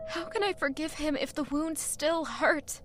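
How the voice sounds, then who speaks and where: Woman doing a high-voiced young noble girl thinking out loud, clear music underneath. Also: unhurried. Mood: reflective. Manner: introspective inner monologue, quiet then pained. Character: troubled young noblewoman brooding in a church. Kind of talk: audio drama narration.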